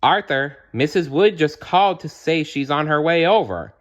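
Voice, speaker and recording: Man doing a firm mother, raised pitch. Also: lively intonation, normal speed, dull audio, lightly acted. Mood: concerned. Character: concerned, firm mother in a storybook.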